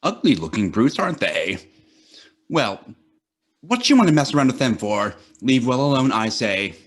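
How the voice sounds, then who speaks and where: An actor playing a grumbling, suspicious laboratory assistant in a radio play reading. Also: male voice, mid-range voice, sing-song, normal speed.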